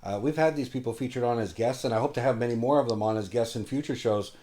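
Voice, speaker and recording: A man hosting a casual interview chat, often halting and rephrasing his questions as he goes. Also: medium pitch, warm tone. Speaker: show host interviewing guests.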